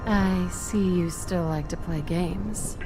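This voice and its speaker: A woman's voice: on the low side, fairly even intonation, takes it slowly, over music, poor audio. An actress playing a cool, guarded, sardonic female secret agent in a video game, with a curt, dry delivery. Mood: cool, dismissive.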